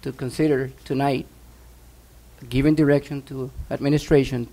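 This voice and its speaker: Gravelly, flat-voiced man at a board meeting. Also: medium pitch, moderate pace. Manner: earnest, urging, with frequent fillers. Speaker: school board member.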